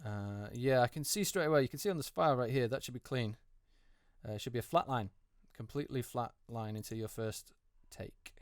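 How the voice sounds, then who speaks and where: A man's voice: mid-range voice, fairly quick, no echo. A man giving unscripted, casual commentary as a voice actor reviewing auditions, hesitant and often repeating words for emphasis.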